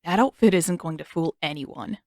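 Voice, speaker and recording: Woman reading as a sarcastic teen boy, grumpy and dry, a touch lower than her own voice, close dry recording. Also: normal speed, darkish tone, no echo. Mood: grumbling. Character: sarcastic teenage boy warrior, grumbling skeptic.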